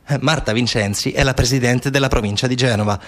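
A man's voice: mid-range voice, quick pace, fairly bright tone. A man performing a theatrical narrative monologue as a stage actor, with an ironic, questioning manner. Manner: rhetorical questions, ironic, acting out quoted replies.

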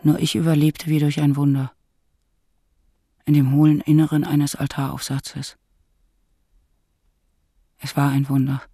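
Radio play narrator: grave medieval monk, mellow dark husky voice, brooding, faint music beneath. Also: male voice, fairly high pitch, little pitch movement, unhurried, long pauses, dry recording, clean studio sound. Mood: grave, shaken. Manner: solemn, brooding. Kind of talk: German radio drama narration.

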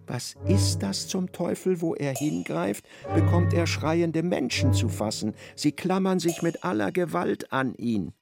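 Gravelly male radio play narrator. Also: fairly high pitch, animated, loud music behind the voice. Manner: measured, vivid storytelling.

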